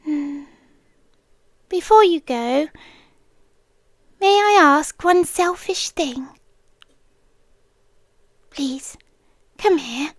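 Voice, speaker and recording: Woman voicing a slow, high-pitched British monster girl. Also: no echo, studio quality. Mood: tender, longing. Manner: teasing, intimate, often dropping into whispers. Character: playful, tender, possessive young female forest monster girl. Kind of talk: roleplay ASMR.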